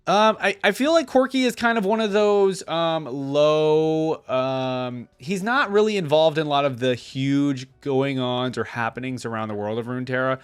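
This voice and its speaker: A man's voice: medium pitch, smooth, dry recording. Casual man, close dry sound. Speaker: YouTube reaction host. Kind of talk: casual reaction commentary.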